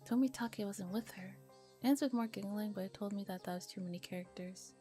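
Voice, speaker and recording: A woman with a soft, breathy, often whispery voice reads a visual novel ASMR-style on stream with relaxed, playful asides, close to the mic. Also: mid-range voice, fairly quick. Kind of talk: ASMR reading with casual commentary. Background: music.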